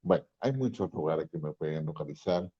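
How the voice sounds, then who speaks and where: A man's voice: rumbling low, expressive, fairly quick, dark tone, slightly rough. An older man from Venezuela with a Venezuelan accent, a pastor sharing his testimony in a folksy storytelling way. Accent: Venezuelan Spanish. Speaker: pastor and guest speaker. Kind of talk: testimony talk. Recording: dull lo-fi sound.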